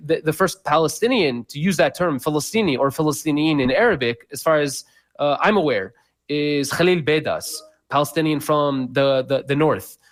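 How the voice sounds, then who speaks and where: A man's voice: a little high, moderate pace. A man speaking as a guest scholar in a radio interview, explaining at length with many fillers and restarts.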